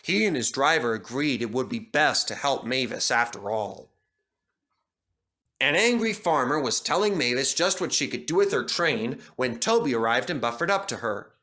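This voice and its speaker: Male storyteller, bright, animated, reads a children's railway story. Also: medium pitch, moderate pace. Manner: lively, expressive. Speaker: narrator. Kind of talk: storybook reading.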